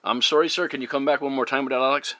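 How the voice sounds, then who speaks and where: A middle-aged man from Newfoundland, Canada, chatting casually as a CB radio operator, courteous and halting in his phrasing. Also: medium pitch, steady tone, noisy background. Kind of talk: casual radio contact chat. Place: at a CB radio station. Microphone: radio microphone.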